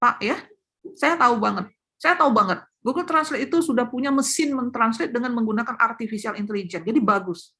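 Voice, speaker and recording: A woman lectures on academic writing in a high, lively voice. She stresses her main points through repetition and speaks directly to her audience. It sounds like a guest speaker on a webinar. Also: brisk. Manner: animated, repeats key points, addresses audience directly. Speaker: professor and invited speaker.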